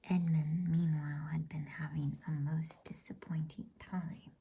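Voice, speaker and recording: A woman whispers a bedtime story in a soothing ASMR style. Her voice is very breathy and soft-toned, with a crackle of fry. She reads without any hurry, keeping everything hushed. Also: sits low, little pitch movement, measured pace.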